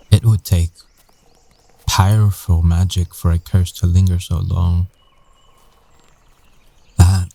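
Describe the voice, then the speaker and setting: A man playing a tender, weary werewolf ex-boyfriend in an intimate ASMR roleplay, with hushed, halting delivery. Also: deep voice, heavily acted.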